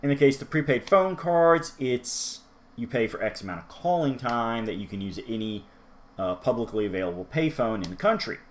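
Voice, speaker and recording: A man's voice: mid-range voice, lively intonation, brisk. A man hosting a casual manga review, talking chattily with asides to the viewer. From United States. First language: English. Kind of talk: casual solo review talk.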